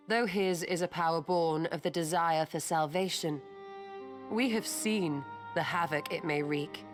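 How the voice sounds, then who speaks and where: A composed, learned fantasy woman with a lowish voice. She speaks formally and in measured, earnest phrases, and game music is clearly audible behind her. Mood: solemn. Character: grave, composed, scholarly woman of a fantasy order. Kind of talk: scripted fantasy game dialogue.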